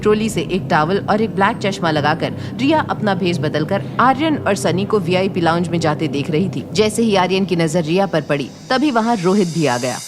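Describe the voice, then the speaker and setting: A woman's voice: lowish voice, expressive, normal speed, few pauses. A woman narrator reading a scripted romantic drama story in a storytelling style. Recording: music bed behind narration.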